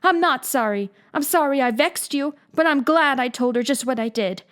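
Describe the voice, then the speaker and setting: A woman's voice: high voice, normal speed, a bit bright, clear, resonant tone, pitched above the performer's own voice. A woman voicing a passionate, dramatic orphan girl. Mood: defiant. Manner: impassioned, defiant, pleading. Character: fiery, imaginative, dramatic young orphan girl.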